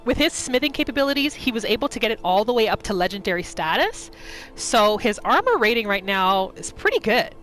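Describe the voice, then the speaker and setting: A woman's voice: fairly high pitch, big pitch swings, brisk, a bit bright, soft background music. A woman hosting a gaming video, narrating her roleplay playthrough in a casual, chatty storytelling way. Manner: chatty, informal, storytelling in third person.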